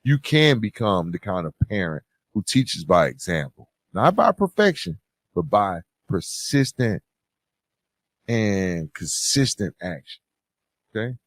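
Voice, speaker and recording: Male podcast host, very low voice, earnest and emphatic motivational talk, close dry mic. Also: sing-song, a little slow, no echo. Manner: earnest, emphatic, repeats phrases for stress. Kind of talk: motivational advice monologue, conversational. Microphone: close podcast microphone.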